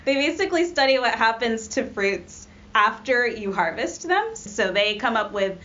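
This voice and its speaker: A woman's voice: a little high, animated, normal speed, a bit bright. A young woman from Rwanda, a postdoc researcher, explaining her work in an interview with frequent ums and uhs. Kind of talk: conversational science interview. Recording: slightly dull audio with faint noise.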